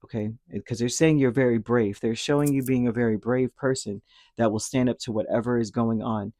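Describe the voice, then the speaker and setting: A man giving a casual spoken tarot reading, rambling and reassuring, his throat dry and a little hoarse after a cold. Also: mid-range voice, fairly even intonation, fairly quick, warm tone, dry recording. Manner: rambling, reassuring, with many fillers and restarts. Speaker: tarot reader.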